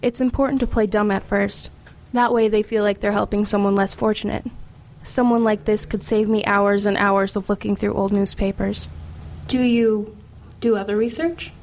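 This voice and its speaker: A woman's voice: mid-range voice, steady tone, moderate pace, a little mellow, a little hiss or hum, natural voice. An actress playing a streetwise teenage girl in a radio drama, confiding and matter-of-fact as she tells her story. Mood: careful, casual. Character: streetwise, wry, formerly homeless teenage girl. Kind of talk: first-person narration and dialogue in radio drama.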